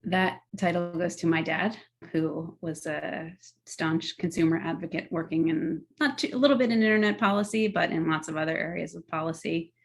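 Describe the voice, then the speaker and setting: A woman's voice: sits low, normal speed, gravelly. A middle-aged woman answering podcast interview questions in an articulate, earnest, enthusiastic way. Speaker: guest, tech policy executive.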